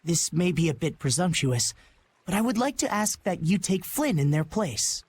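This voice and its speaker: Young prince, fairly high male voice, formal, measured, gentle, dignified, video game character. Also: very animated, a little hiss or hum. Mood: earnest.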